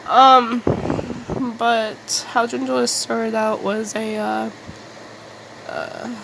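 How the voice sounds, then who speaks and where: A woman rambling casually in a halting, self-deprecating, mildly sweary way, as an artist making a video. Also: on the high side, flat delivery, creaky, noisy background.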